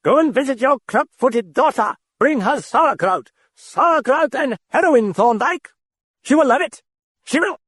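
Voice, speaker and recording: A man voicing a furious spirit with a British accent, shrieking curses in a hoarse voice. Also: extremely high pitch, expressive, a little slow, a bit bright, dry recording, studio quality, voice pushed up, full character voice.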